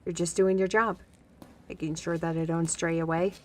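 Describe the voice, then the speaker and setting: A woman playing a young, guarded, gently teasing fantasy woman in a roleplay audio drama, talking directly to the listener in a conversational way. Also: on the low side, flat delivery, normal speed, natural voice. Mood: wry, measured. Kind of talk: scripted roleplay audio acting, ASMR.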